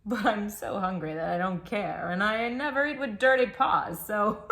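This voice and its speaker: A woman's voice: mid-range voice, moderate pace, a bit bright, close to an everyday voice. A woman voicing a fussy, imperious raccoon in a children's storybook, theatrical and dainty. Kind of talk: children's storybook read-aloud.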